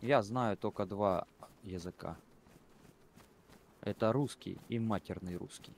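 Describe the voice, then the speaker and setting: Very low-voiced man, casual gamer chat, crude and rambling, light game noise. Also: a little slow, gravelly, a little mellow. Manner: blunt, swears freely, rambling.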